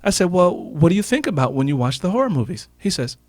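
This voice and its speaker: A man's voice: high voice, sing-song, fast, no echo. A man telling reflective personal stories as a radio guest, emphatic and repeating phrases for effect. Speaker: interview guest, author.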